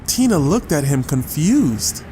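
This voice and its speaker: A man's voice: on the high side, sing-song, a little slow, warm tone, a little hiss or hum, low-quality recording. A man reading a scripted short story as a dramatic audiobook narrator. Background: music.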